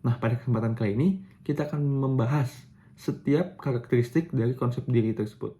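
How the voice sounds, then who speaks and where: A young man presents a lesson in a relaxed, conversational way. His voice sits at a middle pitch with a soft, mellow tone. He often hesitates and restarts mid-sentence. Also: fast. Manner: casual, with fillers and self-corrections. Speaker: student presenter. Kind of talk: explanatory lesson.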